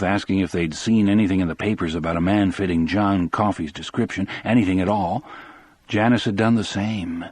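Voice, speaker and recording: A man's voice: low-pitched, breathy edge, no room sound. A man narrating an audiobook in the first person, in a scripted, dramatic storytelling style.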